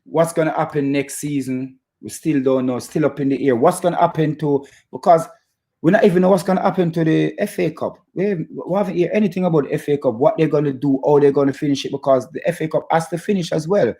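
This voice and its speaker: A man from Jamaica with a Jamaican accent, hosting a casual football fan chat in a halting, stop-start way and repeating his phrases. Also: on the high side, fairly even intonation, dark tone. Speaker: football fan channel host. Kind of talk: casual chat to live viewers about football.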